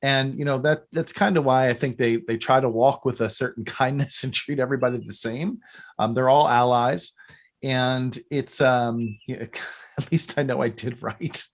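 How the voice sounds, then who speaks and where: A middle-aged man chatting casually as a podcast guest, telling rambling, animated stories. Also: mid-range voice, moderate pace. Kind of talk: casual interview chat.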